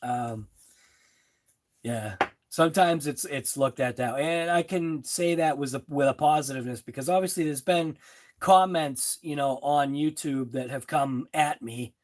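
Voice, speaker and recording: A middle-aged man with a Boston accent, casually chatting on a live stream and answering viewers' comments in a rambling, laid-back way. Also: fairly high pitch. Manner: slow, rambling, with fillers and laughs. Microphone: phone.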